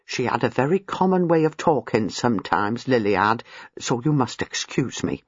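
A man's voice: mid-range voice, sing-song, normal speed, slightly rough, dry recording, dull audio, voice pushed down. A man voicing a nervous, apologetic older female former servant, hesitant and deferential in an audiobook, with rambling rustic turns of phrase. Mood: reminiscing, flustered. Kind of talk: audiobook character dialogue.

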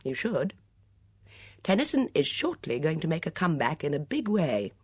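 A woman's voice: low-pitched, sing-song, normal speed, a little mellow, slightly rough, dry recording, clean studio sound, voice pushed up, heavily acted. A woman voicing a glib, teasing young man in love, with flowery, mock-formal speech in an audiobook story. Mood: airy, pompous.

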